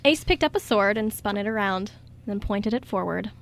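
A woman's voice: medium pitch, lively intonation, moderate pace, creaky. A woman reading scripted story narration as the narrator of a radio drama.

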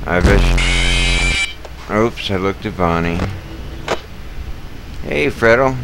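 Deep, slow-talking male gamer muttering and cursing over loud game music. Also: lively intonation, gravelly, poor audio. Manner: muttering, swearing, sudden yells at the game. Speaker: gaming video creator. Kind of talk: casual gameplay commentary.

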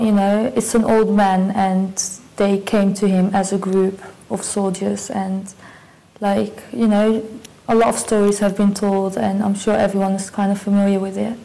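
A young woman being interviewed in a dark studio, recalling her trip in a relaxed, chatty way with many fillers. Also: medium pitch, creaky, poor audio. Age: young adult. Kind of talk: casual recollection.

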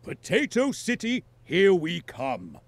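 A man's voice: high-pitched, wildly swooping pitch, slow pace, no echo, exaggerated voice. Jolly cartoon dad pig, really swoopy delivery, takes his time, music under it. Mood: jovial. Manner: jovial, hearty. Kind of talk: children's cartoon game acting.

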